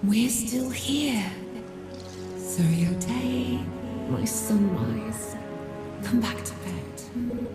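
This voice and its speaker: A woman playing a patient, loving and slightly weary partner, soft-spoken and tender in intimate game dialogue. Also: on the high side, extremely slow, pauses often, over loud music.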